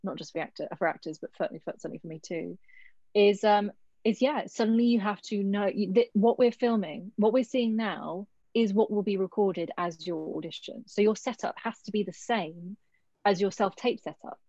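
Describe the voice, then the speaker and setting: A woman's voice: medium pitch, normal speed, mellow, dry recording. A woman working as a casting director, giving thoughtful, chatty advice to actors in a workshop over a video call. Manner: thoughtful, chatty, thinks aloud. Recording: dull lo-fi video call audio.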